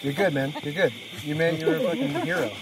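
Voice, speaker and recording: A man's voice: a little high, animated, fast, very noisy. A man talking casually with friends outdoors.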